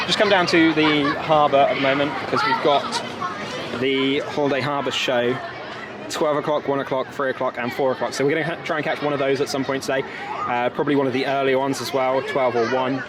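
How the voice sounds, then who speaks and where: A man's voice: mid-range voice, steady tone, brisk. A young British man chatting casually and informally to viewers as a travel vlogger, recorded outdoors on a handheld camera. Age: young adult. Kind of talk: casual vlog chat. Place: outdoors in a theme park. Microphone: handheld camera at arm's length. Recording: noisy busy outdoor crowd ambience. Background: crowd and outdoor park noise.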